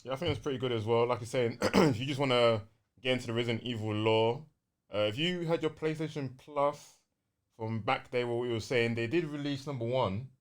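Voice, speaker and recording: A man's voice: medium pitch, fairly quick. A man, explaining things loosely and casually as co-host of a gaming podcast.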